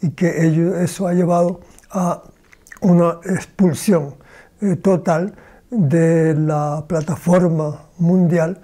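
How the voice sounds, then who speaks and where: An older man from Cuba, a philosophy professor, answering interview questions slowly and deliberately in a small room with bookshelves, pausing often to search for words. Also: a little high, fairly even intonation, dark tone.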